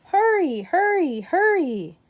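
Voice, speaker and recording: A woman voicing excitable storybook firemen and sailors, calling out in an urgent, exclamatory way. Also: very high voice, slow pace, no echo, pitched above the performer's own voice, exaggerated voice. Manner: urgent, exclamatory, repeating words.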